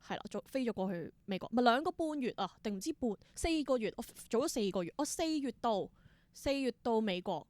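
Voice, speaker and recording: A woman's voice: on the high side, lively intonation, a little fast, darkish tone, no echo. A young woman with a Hong Kong Cantonese accent talks as a podcast guest in a close, dry recording. She tells her stories casually, slipping into reported dialogue as she goes. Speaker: podcast guest, theatre performer. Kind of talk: casual interview storytelling.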